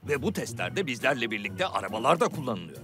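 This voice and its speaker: Grumbling crash-test dummy for a kids' cartoon, played by a man, mid-pitched male, wildly swinging intonation, secretive and impatient. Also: very animated, fast talker, over loud music, lightly acted. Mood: grave, explaining. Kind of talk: animated children's cartoon acting.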